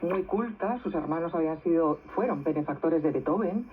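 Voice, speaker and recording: A woman's voice: low-pitched, a little fast, breathy edge, phone-quality sound. A woman, a novelist guest explaining history in a radio interview, often ending her points with tag questions. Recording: thin shortwave radio reception.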